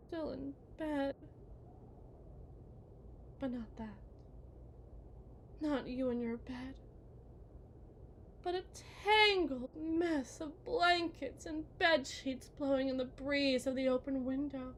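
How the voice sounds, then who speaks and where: A woman playing a possessive, manipulative, lovesick kidnapper wife in a theatrical, condescending ASMR roleplay monologue, drawing out her words and swinging from hushed to emphatic. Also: high voice, slight background noise. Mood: reproachful.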